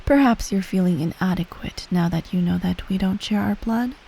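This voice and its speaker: A woman playing a menacing, coolly doting empress mother in soft, breathy ASMR roleplay, measured and formal, often near a whisper. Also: mid-range voice. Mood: coolly reassuring. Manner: measured, formal, veiled threats, breathy sighs.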